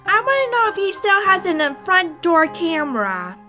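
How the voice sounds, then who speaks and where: A woman's voice: very high-pitched, sing-song, normal speed, music in the background. A woman playing a tabletop game, bantering casually and sarcastically.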